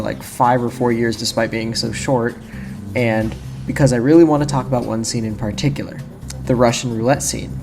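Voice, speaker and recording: A young man with a slightly low voice gives casual video essay commentary over prominent music. He talks informally and rambles, often cutting into his own sentences. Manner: informal, rambling, self-interrupting. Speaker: video game YouTuber. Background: prominent background music.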